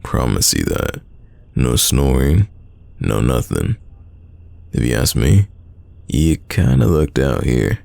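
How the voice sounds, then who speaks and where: A man playing a secretly smitten, teasing young man in an intimate roleplay audio drama, deep and gravelly, talking casually. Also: sing-song, darkish tone, no echo, full character voice. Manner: casual, conversational, halting, teasing.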